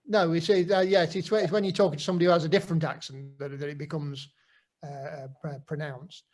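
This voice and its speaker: Halting Nottingham man with a higher voice. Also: fairly even intonation, darkish tone. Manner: halting, full of ums and restarts. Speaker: interviewer. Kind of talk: casual conversation.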